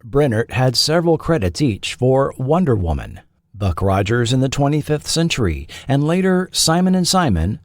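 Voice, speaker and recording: A man hosting and narrating a scripted documentary feature in a measured, articulate way. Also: medium pitch, a little mellow, no echo, studio quality. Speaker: podcast host and narrator.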